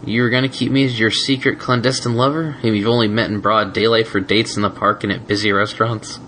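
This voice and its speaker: Male reader as a soft-spoken, loyal stallion; gentle and earnest. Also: on the low side, flat delivery, a little fast, slight background noise. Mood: tender. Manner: earnest, emotional.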